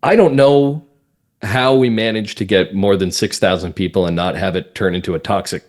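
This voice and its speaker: A man's voice: fairly low pitch, normal speed. A man speaking as a podcast guest and playwright, chatting casually in an interview.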